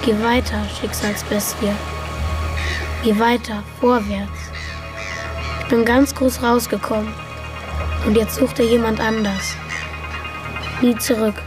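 An actress playing a driven, defiant character, declaiming insistent short phrases in a radio drama. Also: female voice, fairly high pitch, flat delivery, slow, pauses often. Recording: music behind.

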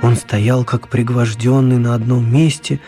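An actor playing a storyteller, narrating a children's radio tale with measured dramatic pauses. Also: male voice, medium pitch, fairly even intonation, warm tone, natural voice. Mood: dramatic narration. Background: music.

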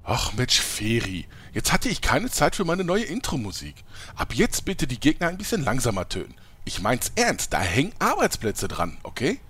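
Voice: mid-range voice, wildly swooping pitch, moderate pace, fairly bright tone, slightly rough, heavily acted. An actor voicing a self-important, jokey male show-off with a swaggering, theatrical manner in a parody audio drama. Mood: mock-aggrieved.

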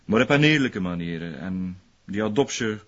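An actor playing an aggrieved former concierge turned prosperous dealer in a radio drama, rambling in folksy dialect with a West Flemish accent. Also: male voice, lowish voice, expressive, normal speed, dull audio, close to an everyday voice. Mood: knowing, indignant. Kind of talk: naturalistic radio drama dialogue.

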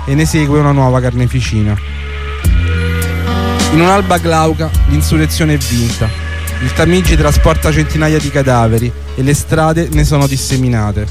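Male narrator for scripted historical radio drama, slightly higher register, literary reading over prominent hip hop backing. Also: moderate pace. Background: hip hop music under the voice.

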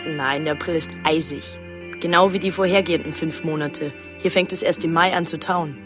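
An actress playing a solitary, watchful island woman, narrating a literary radio drama in a measured, restrained and introspective way. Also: deep voice, fairly even intonation, music in the background, natural voice. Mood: guarded, quiet.

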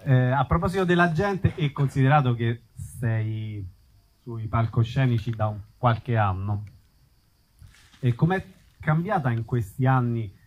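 A man's voice: mid-range voice, unhurried. A man acting as interviewer, putting unscripted questions to a guest in front of an audience. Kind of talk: spontaneous live interview questions.

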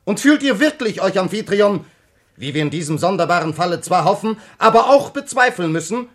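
An actor playing a cautious, courteous officer mediating in a classical verse radio drama, formal and declamatory. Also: male voice, high-pitched, animated, a little fast, a bit bright. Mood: firm, diplomatic.

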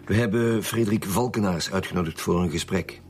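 An actor playing a blunt, suspicious and impatient Flemish police detective in a radio drama. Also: male voice, deep voice, brisk, darkish tone, faint music behind, close to an everyday voice. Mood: businesslike. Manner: blunt, pressing. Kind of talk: Dutch-language radio drama acting.

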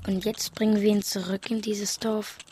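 An actress playing the grave, questioning inner voice of a mourner in a literary radio play, speaking in a measured, reflective way. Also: female voice, medium pitch, flat delivery, warm tone, close to an everyday voice. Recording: music behind the voice. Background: music.

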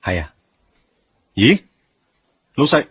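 Casting: wistful, absent-minded young office man, radio drama, Hong Kong Cantonese, very husky, lively intonation. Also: mid-range voice, slow pace, muffled recording, full character voice. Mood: sheepish. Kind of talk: Cantonese radio drama acting with inner monologue.

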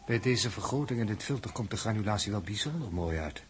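Male space scientist, lowish and very husky, exacting lecturer, insistent, vintage radio sci-fi. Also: animated. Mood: lecturing. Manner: didactic, measured, precise. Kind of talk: 1960s Dutch radio drama acting.

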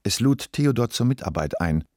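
A man's voice: mid-range voice, expressive, moderate pace, a little mellow, dry recording, clean studio sound. A man reading scripted literary narration as the narrator of a radio play.

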